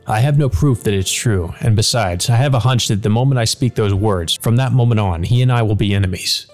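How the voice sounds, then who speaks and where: Male story narrator, lower register, reading visual novel prose over music. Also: fairly quick. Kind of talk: dramatic scripted narration.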